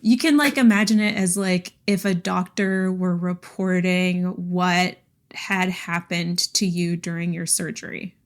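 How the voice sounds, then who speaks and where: A woman's voice: fairly low pitch, steady tone, a little slow. Chatty, enthusiastic woman podcast host. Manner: enthusiastic, frequent "like", laughs while talking. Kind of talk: casual book discussion.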